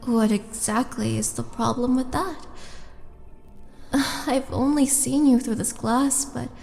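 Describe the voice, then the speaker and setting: A woman playing a tall, lonely orc oracle in an intimate roleplay audio drama, speaking softly and coaxingly with breathy, whispered touches. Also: fairly high pitch. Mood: tender, sympathetic. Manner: slow, coaxing, intimate, halting. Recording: long reverb, music behind.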